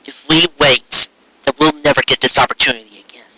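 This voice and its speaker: Guy doing a stubborn blunt girl pony in a story, pushy, bright and raspy. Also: mid-range voice, steady tone, a little fast, no echo, poor audio. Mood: determined.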